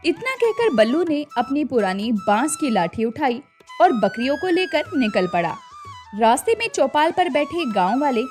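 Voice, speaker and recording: High, expressive woman storyteller. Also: soft background music. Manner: slow, expressive narration. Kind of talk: scripted storybook narration.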